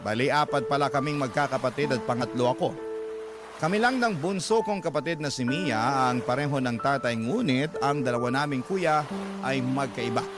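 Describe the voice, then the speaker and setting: A man's voice: medium pitch, animated, moderate pace, over music, natural voice. A man with a Filipino accent playing a letter writer who narrates their own life story in a radio drama. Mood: reflective.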